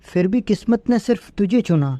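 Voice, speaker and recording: A man delivering scripted comic lines to a friend in a mock-earnest, deadpan manner. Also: high voice, a little fast, dry recording.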